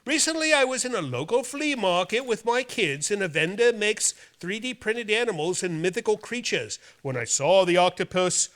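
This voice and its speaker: A man's voice: high voice, lively intonation, normal speed, hardly any pauses, fairly bright tone. A man hosting a show, reading out mail and bantering in a theatrical, playful way. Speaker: host of a horror movie show.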